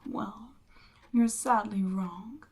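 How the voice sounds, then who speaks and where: A seductive Demon Queen, acted by a woman, speaks to her captive in an ASMR roleplay. She takes her time over every phrase, sliding from breathy, medium-pitched speech into whispers. Her manner is smug and coaxing, with the firm command of a ruler. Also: fairly even intonation, extremely slow, darkish tone. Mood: threatening, commanding. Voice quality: breathy, often dropping into whisper.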